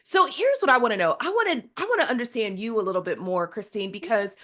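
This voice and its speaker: A woman's voice: a little high, expressive, fast, sharp tone. A woman hosting an interview, chatty and enthusiastic, asking long layered questions. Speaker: podcast host. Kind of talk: casual interview chat.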